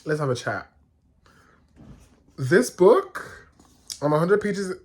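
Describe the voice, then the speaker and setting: Excitable man gushing about books. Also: high-pitched, takes it slowly, husky. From Nigeria. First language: Yoruba. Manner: excitable, gushing, rambling with restarts. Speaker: book vlogger. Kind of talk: casual reading vlog chat.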